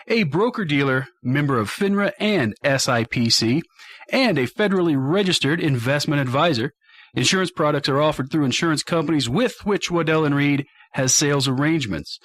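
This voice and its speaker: Middle aged american guy doing a radio money show monologue, voice a bit high, deliberate and emphatic. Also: a bit bright, no room sound. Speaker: radio show host and financial advisor. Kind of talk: talk radio monologue, addressing listeners.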